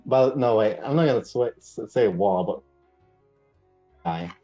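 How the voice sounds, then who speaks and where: Mellow low-voiced man at the game table. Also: expressive, unhurried. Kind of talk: casual game talk. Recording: music playing behind the voice. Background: music.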